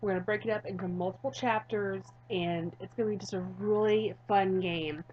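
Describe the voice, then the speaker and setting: A woman with a medium-pitched voice hosts a gaming video. She reads visual novel narration aloud and talks casually to viewers. The sound is a little dull and lo-fi. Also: fairly even intonation, moderate pace, husky. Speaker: gaming YouTuber.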